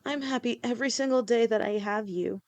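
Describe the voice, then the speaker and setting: A woman voicing a devoted young lamia woman with a snake tail, speaking softly to her partner in an audio roleplay, halting and sometimes stammering. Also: a little high, muffled recording, natural voice. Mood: affectionate. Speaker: girlfriend in a listener roleplay.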